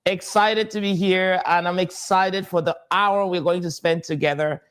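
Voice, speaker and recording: A man's voice: high-pitched, steady tone, normal speed, fairly bright tone, slight background noise. A man from Cameroon, a tax advisor answering interview questions, confident and repeating his key points with some hesitant fillers.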